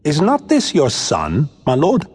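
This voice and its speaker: An actor playing a courteous, plain-spoken nobleman with a husky voice in a Shakespearean audio drama. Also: a little high, wildly swooping pitch, a little slow, a little mellow, exaggerated voice. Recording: long hall-like reverb.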